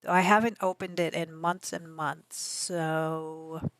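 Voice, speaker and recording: A woman streamer with a slightly raspy voice, chatting casually and answering her viewers. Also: low-pitched, little pitch movement, slow, a bit bright, dry recording. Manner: rambling, chatty, answers chat messages aloud. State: allergies. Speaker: game streamer.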